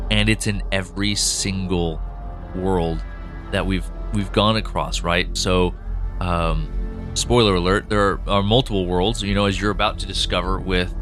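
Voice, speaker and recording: A man co-hosting a behind-the-scenes podcast, talking through the lore of his audio drama with animated enthusiasm and plenty of fillers. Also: deep voice. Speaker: podcast co-host, show creator. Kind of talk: casual behind-the-scenes chat.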